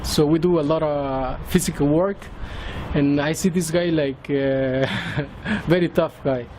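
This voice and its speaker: A man's voice: a little high, steady tone, measured pace, mellow. A man in his thirties with a Mexican Spanish accent gives a personal testimony in English, hesitant, with many fillers and restarts. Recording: outdoor traffic noise behind.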